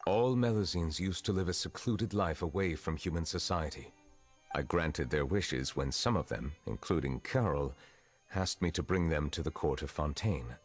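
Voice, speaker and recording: An actor playing a reserved, centuries-old chief justice in a fantasy video game, speaking in measured, formal phrases. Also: male voice, extremely low pitch, soft background music. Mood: solemn, reflective. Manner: measured, formal, stately diction.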